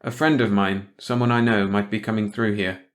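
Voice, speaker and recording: Man voicing a guarded stranger, careful, hesitant, lowish voice, storybook character. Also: fairly even intonation, moderate pace, close to an everyday voice. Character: guarded male stranger from elsewhere.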